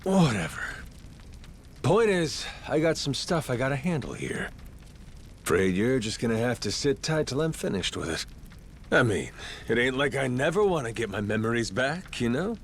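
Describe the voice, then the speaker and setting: A man's voice: medium pitch, very animated, moderate pace, a little hiss or hum. A man playing a brash, playful Yakuza in a video game, with a cocky, profane, slangy drawl. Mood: earnest, insistent. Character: brash, playful, rough-talking Yakuza man who lost his memory. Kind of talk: video game cutscene acting.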